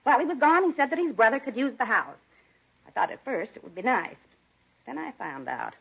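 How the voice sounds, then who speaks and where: Indignant, cat-doting American woman neighbor, very animated intonation, rambling, muffled old radio recording. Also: on the high side, a little fast, gravelly, slightly rough, heavily acted. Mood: huffy. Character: nosy, gossipy, indignant female neighbor who dotes on cats. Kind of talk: 1950s radio drama acting. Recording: dull 1950s radio broadcast recording.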